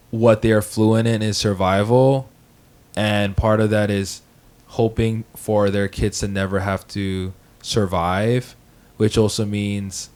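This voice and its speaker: A young man from Hawaii, a podcast co-host, talking casually, joking and swearing, then speaking softly and thoughtfully about his family. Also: lowish voice, clean tone. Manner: joking, profane, then reflective and soft-spoken. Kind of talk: casual group chat, storytelling.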